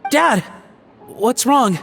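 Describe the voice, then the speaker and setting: A woman's voice: on the high side, lively intonation, drawn-out words, vocal fry, full character voice. Tentative young boy, reverberant. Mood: worried. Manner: modest, tentative, concerned. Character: timid, worried young son of a chef. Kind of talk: video game cutscene acting. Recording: long reverb with music behind.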